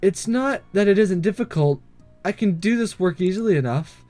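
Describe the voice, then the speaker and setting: Man reading as an earnest, high-voiced schoolgirl class rep. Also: expressive, normal speed, darkish tone, no room sound, soft background music. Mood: troubled, conscientious. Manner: hesitant, careful, formal wording. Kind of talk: visual novel dialogue read aloud.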